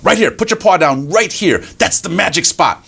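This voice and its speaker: A man's voice: high-pitched, animated, moderate pace, vocal fry, fairly bright tone, husky, voice pushed up, heavily acted. A man voicing a tiny, cunning mouse in a folktale, with coaxing, mock-innocent questions. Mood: coaxing, sly. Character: tiny, cunning male or female mouse, drily clever. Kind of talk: animated live folktale storytelling.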